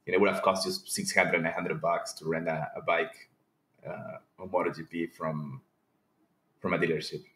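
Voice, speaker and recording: Deep-voiced man in an echoey room. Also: level tone, moderate pace, sharp tone. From Peru. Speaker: podcast guest, company founder. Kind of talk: casual interview conversation. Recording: roomy, echoing sound.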